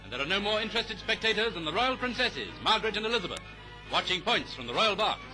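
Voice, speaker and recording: Male British newsreel narrator, 1930s style, high register, declamatory delivery over a music bed. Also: lively intonation, quick pace, low-quality recording. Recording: vintage 1930s newsreel soundtrack.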